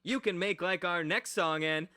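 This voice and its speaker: An actor playing a cocky, wisecracking late-night radio host in a radio play, with theatrical, sarcastic patter. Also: male voice, sits high, normal speed, pushed and bright. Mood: showy, teasing.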